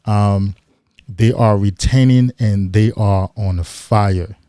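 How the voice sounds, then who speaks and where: A man talks slowly and deliberately on a livestream, his voice low and mellow. He leans on rhetorical questions and emphatic repetition, and the sound is dry and close. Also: clear, resonant tone, dry recording. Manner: mimics excited men in a higher voice. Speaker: livestream host. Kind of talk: motivational livestream talk.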